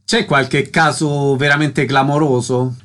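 A man's voice: mid-range voice, fairly even intonation, slow, a bit bright, slight background noise. A man interviewing a guest on radio, asking concise, prepared questions.

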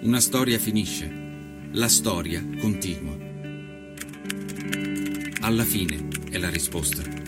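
A man performing an earnest nineteenth-century Italian journalist who recounts his travels in a measured, solemn, literary radio monologue. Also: low voice, over loud music. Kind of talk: scripted dramatic narration, radio storytelling.

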